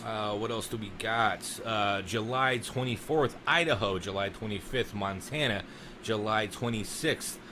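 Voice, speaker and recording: A man's voice: on the low side, a little slow, background noise. A man hosting a podcast in a casual, enthusiastic chat, swearing now and then. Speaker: podcast host.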